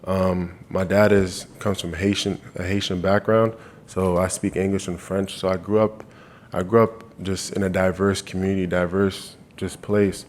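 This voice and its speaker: A man's voice: deep voice, level tone, normal speed, slight background noise. A young man from Canada answering press questions earnestly, often restarting his phrases. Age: 20s. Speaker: college football player. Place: media day press room.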